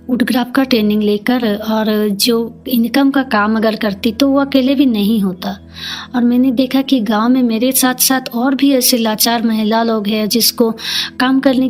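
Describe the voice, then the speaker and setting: Middle aged woman from the east, kinda high voice, plain earnest interview answers, faint music behind. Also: darkish tone. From Jharkhand, India. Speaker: interview guest, community leader. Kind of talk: personal storytelling in an interview.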